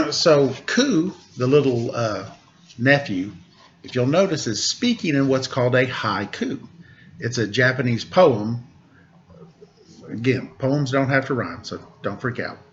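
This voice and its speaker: Guy doing storytime read aloud, stops to explain stuff casually, kinda quick, muffled lo-fi audio. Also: medium pitch, expressive, a little hiss or hum. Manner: explanatory, informal asides to listeners. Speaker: storyteller reading a picture book, teacher.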